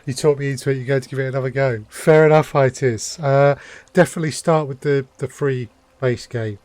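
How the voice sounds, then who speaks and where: A man from the UK live streaming a game, chatting casually and commenting in a low-key, conversational way. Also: steady tone, fairly quick, a little mellow.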